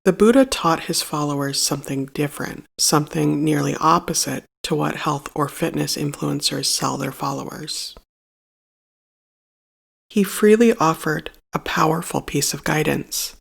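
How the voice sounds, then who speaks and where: A meditation guide reading a scripted narration in a measured, solemn and instructive manner. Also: medium pitch, vocal fry, fairly bright tone, husky.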